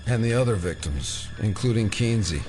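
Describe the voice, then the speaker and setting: A man's voice: lowish voice, lively intonation, moderate pace, close to an everyday voice. An actor playing a wry, concerned male FBI agent in an audio drama. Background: loud dramatic music.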